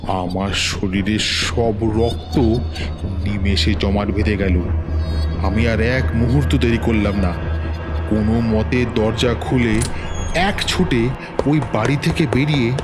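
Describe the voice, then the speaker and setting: A man's voice: fairly low pitch, slow pace, over music. Man narrating a horror tale. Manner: tense, urgent storytelling. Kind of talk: first-person scripted horror narration.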